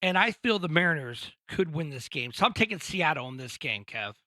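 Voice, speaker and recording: High-voiced chatty male podcast host. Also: a little fast, dry recording. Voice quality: high-pitched voice. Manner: energetic, chatty, restarts often. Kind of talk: casual sports podcast chat.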